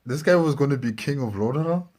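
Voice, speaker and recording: A man's voice: medium pitch, animated, quick pace, darkish tone. A man giving casual, off-the-cuff reaction commentary as a video host, incredulous and repeating himself.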